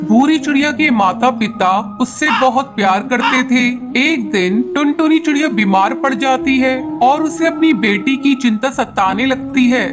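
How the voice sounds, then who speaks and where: A man's voice: very high voice, expressive, a little slow, hardly any pauses. A man narrating a scripted children's story as its storyteller. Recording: background music bed.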